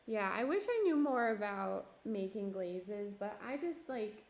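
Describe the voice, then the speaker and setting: A woman's voice: mid-range voice, lively intonation, a little slow. A young woman pottery streamer chatting casually with her chat while she works, with lots of fillers and laughs, and her voice starting to go. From Minneapolis–Saint Paul area. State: losing her voice. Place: home pottery studio.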